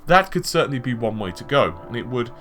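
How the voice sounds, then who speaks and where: Man, mid-pitched voice, conversational tech commentary, explanatory with hedges and asides, background music. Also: sing-song, fast, fairly bright tone. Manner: explanatory, hedging with examples and asides. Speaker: gaming news commentator.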